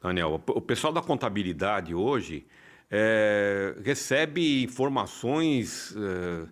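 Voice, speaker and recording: A Brazilian man with a fairly low voice talks as a podcast guest. He explains things in a conversational interview style. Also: animated, slow pace. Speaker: podcast guest, financial consultant.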